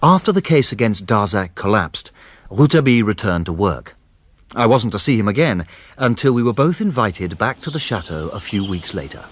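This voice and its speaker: An actor playing an earnest, easily amazed gentleman companion and narrator in a period radio drama, polite in his questioning. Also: on the low side, big pitch swings, normal speed. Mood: reflective. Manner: polite, hesitant questioning, theatrical.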